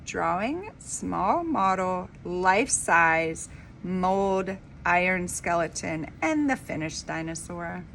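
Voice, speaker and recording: A woman reading a children's story aloud in an expressive, dramatic, emphatic storybook manner. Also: on the low side, takes it slowly, gravelly, a little hiss or hum. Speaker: reader of a children's book.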